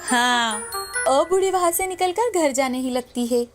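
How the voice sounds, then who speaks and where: A woman's voice: high-pitched, lively intonation, measured pace, faint music behind. A woman narrator reading a scripted story.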